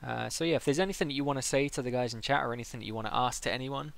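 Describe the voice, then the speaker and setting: Male stream host, casual interview chat, noticeably creaky voice at a middling pitch. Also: racing.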